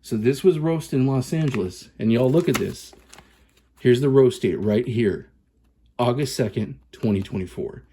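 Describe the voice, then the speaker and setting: A man in his thirties from Southern California, chatting excitedly and casually as he reviews coffee, with plenty of fillers and restarts. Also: medium pitch, moderate pace, slight background noise. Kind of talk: casual vlog chat.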